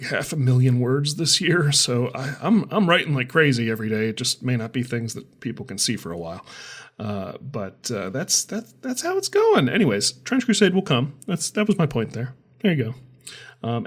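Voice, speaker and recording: A man talking casually as a channel host, rambling in an easygoing, self-deprecating way with frequent asides. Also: medium pitch. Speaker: channel host, author and game designer. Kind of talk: casual rambling vlog talk.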